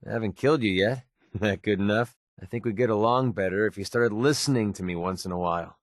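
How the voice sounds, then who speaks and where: A man's voice: fairly low pitch, fairly quick, no echo, studio quality, natural voice. A man voicing a cocky, sardonic young mercenary companion in a video game, casual and wry, loyal underneath. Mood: wry, impatient. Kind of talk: video game voice acting, short companion lines.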